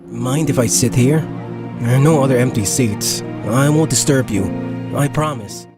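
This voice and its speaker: A man playing a sweet, polite young man in a cartoon, speaking in a gentle, sincere way. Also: mid-range voice, moderate pace, close to an everyday voice. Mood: friendly, polite. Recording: very loud music behind voice.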